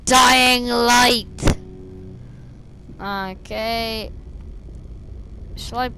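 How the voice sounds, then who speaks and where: A young woman, slightly hoarse and losing her voice, chatting and rambling as she plays a stealth game at her desk, talking to the enemies on screen. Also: on the high side, steady tone, extremely slow, bright, forceful tone, slight background noise, rough recording. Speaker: gameplay streamer. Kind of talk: casual gameplay commentary. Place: small room indoors, seated at a desk.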